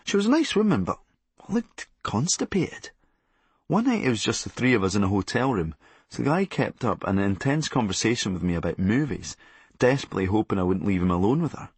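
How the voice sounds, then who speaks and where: A man's voice: fairly low pitch, big pitch swings, fairly quick, slightly rough, dry recording. A Scottish man narrating a comic memoir in a sardonic, darkly comic and blunt manner. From Scotland. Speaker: comedian narrating a memoir audiobook.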